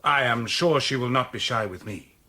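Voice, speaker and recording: An actor playing a confident, polite young gentleman music tutor in a period audio drama, eager and self-assured. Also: mid-range voice, normal speed, natural voice.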